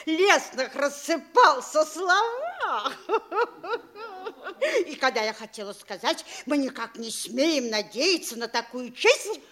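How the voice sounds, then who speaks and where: Vain provincial mayor's wife, gushing, bright high voice, slow swooping delivery, theatrical radio drama. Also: female voice, sing-song, heavily acted. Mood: gushing, boastful. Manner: gushing, theatrical, self-admiring. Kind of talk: theatrical radio play acting.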